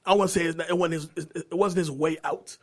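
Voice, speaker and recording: A man co-hosting a podcast, chatting casually in slangy, animated talk and repeating phrases for emphasis. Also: a little high, fast talker. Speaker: podcast co-host. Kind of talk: casual pop-culture chat.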